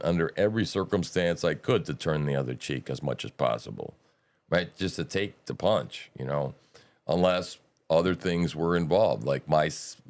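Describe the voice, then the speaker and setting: A middle-aged man with a deep voice hosting a casual political talk show, rambling with restarts and folksy slang. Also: a little mellow. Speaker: host.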